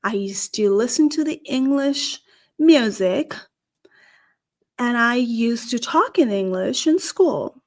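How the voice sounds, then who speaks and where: A woman's voice: on the high side, animated, takes it slowly. Earnest woman with a Nepali accent. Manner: earnest, posing questions. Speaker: career strategist and show host. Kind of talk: solo talk to camera.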